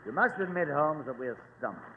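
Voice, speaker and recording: A man plays a practical, plain-spoken police inspector in an old radio drama. His voice is slightly high, and the recording is tinny and clearly noisy. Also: animated, moderate pace, in a large hall, natural voice. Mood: stumped. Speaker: actor in a radio detective drama. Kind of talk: 1940s radio acting.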